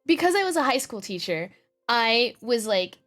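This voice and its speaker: Young woman streamer, relaxed talkative chat, slightly high voice, full of fillers. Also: moderate pace, soft background music. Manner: chatty, lots of fillers and laughs. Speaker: streamer and podcast host. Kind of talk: casual chat.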